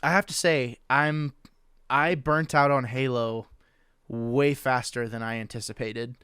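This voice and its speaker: A man's voice: medium pitch, fairly even intonation, unhurried, fairly bright tone, clean tone, no echo, studio quality. A young man hosting a casual podcast, talking loosely and joking with his co-hosts, often restarting his sentences. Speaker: podcast host.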